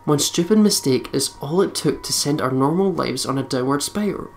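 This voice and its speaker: A let's play commentator reading a visual novel aloud in an expressive storytelling way, breaking off for sweary, joking asides. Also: medium pitch, moderate pace, slight background noise. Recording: music behind the voice. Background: game music.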